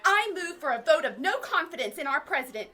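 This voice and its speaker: A woman playing a defiant, combative suburban homeowner with shrill, theatrical indignation in an audio comedy. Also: big pitch swings, fairly quick, bright, forceful tone, soft background music, full character voice.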